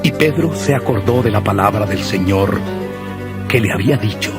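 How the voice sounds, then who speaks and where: A man narrating scripture from a script in a solemn, measured manner. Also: lowish voice, expressive. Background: music.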